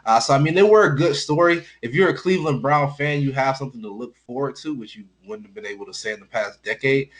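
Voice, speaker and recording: A man's voice: medium pitch, fast, few pauses. A man co-hosting a sports talk show, giving casual analysis in a frank, blunt and informal way.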